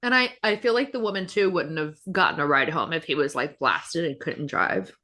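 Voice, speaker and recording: A woman's voice: low-pitched, expressive, moderate pace, sharp tone. A woman co-hosting a podcast in casual reactive chat, blunt and full of opinions. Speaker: podcast co-host.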